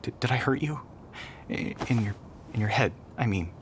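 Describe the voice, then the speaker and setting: A man playing a troubled, bitter young military telepath navigator in an audio roleplay, volatile yet apologetic, with halting, stammering delivery. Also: medium pitch, close to an everyday voice. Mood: shaken, apologetic. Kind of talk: audio roleplay acting addressed to the listener. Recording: clearly noisy background ambience.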